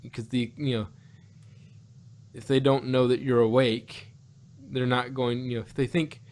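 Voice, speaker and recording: Guy on a review podcast, average pitch, animated and argumentative, keeps doubling back on his words. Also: measured pace. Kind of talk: casual review chat about an audio drama.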